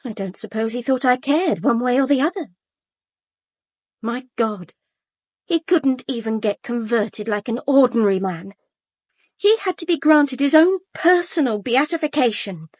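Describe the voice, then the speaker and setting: A woman's voice: on the high side, animated, a little slow, dry recording, clean studio sound. An actress playing a brittle, bitter young upper-class British woman in a radio drama, with a clipped, caustic manner. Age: young adult.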